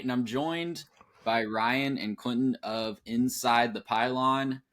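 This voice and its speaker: A man hosts a sports podcast in an easygoing, upbeat way. His voice sits at a middling pitch, and he moves conversationally between welcomes and questions. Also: fairly bright tone. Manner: upbeat, conversational host patter. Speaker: podcast host. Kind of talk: casual podcast hosting.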